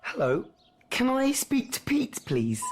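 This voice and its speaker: A man's voice: very high-pitched, huge pitch swings, slow, over loud music, heavily acted. A young man playing an awkward, earnest, nervous teenage schoolboy in a radio play, hesitant and rambling. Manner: hesitant, rambling, self-conscious. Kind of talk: radio play acting with confiding narration.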